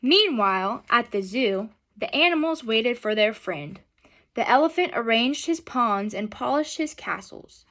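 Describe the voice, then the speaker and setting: A woman's voice: fairly high pitch, lively intonation, unhurried, fairly bright tone. A young adult woman reading a picture book aloud expressively and putting questions to children in an instructional way. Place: small furnished room at home, indoors.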